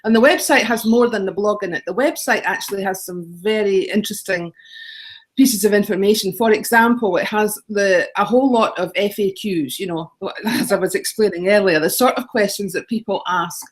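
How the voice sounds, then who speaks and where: A woman's voice: mid-range voice, a little fast. A middle-aged Scottish woman chatting as a guest in an interview, rambling through practical explanations with ums and little laughs. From Scotland. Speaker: guest, home exchange author. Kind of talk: casual interview talk.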